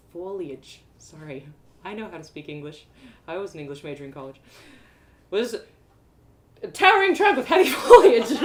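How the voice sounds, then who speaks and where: A woman narrating a first-person fantasy tale as a storyteller, expressive and playful. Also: mid-range voice.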